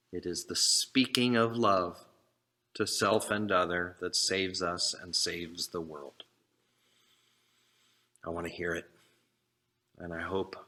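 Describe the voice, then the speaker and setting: Slow, deep-voiced male preacher. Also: pushed and bright. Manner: earnest, exhortatory, with deliberate pauses and short phrases. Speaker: minister giving a sermon. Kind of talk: sermon.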